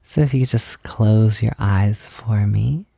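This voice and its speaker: Male, soft intimate roleplay, encouraging and gentle, gravelly fry, very dry close recording. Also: lowish voice, measured pace, no room sound. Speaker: roleplay audio performer. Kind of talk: soft-spoken intimate roleplay, like a personal makeup tutorial.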